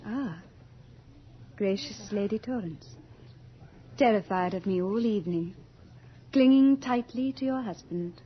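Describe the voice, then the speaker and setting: A woman's voice: medium pitch, unhurried, long pauses, a little hiss or hum, close to an everyday voice. An actress playing Death as a gracious, beautiful young lady in a radio horror drama, genteel, delicate and wistful. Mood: gracious, probing. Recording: dull 1980s radio recording, faint music.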